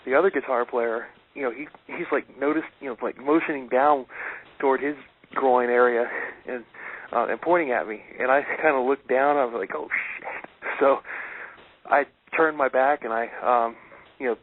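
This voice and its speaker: A man's voice: mid-range voice, moderate pace. A man co-hosting a loose talk show, chatting casually and rambling with lots of fillers and restarts. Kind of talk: casual chat. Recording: tinny phone-like internet radio.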